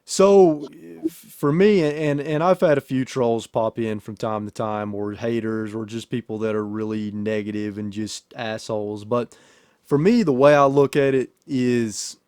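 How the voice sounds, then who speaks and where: A man's voice: medium pitch, a little slow, a little mellow, smooth, dry recording, studio quality. A man hosting a podcast in a casual, profane, storytelling chat style. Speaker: podcast host and YouTuber.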